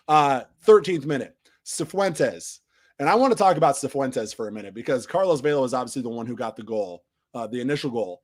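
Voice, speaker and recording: A man's voice: medium pitch, expressive, moderate pace. Man with a voice still mending. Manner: rambling, frequent restarts and fillers, trails off quietly at phrase ends. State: recovering from losing his voice. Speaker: soccer podcast host. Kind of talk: casual match recap on a live stream.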